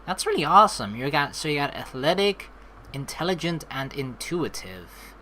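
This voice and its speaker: A man's voice: a little high, sing-song, normal speed, sharp tone, a little hiss or hum. A man giving casual, rambling, joking commentary as he hosts a video game playthrough, swearing now and then. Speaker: let's play host. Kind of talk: casual gaming commentary with read-aloud game text. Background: background music.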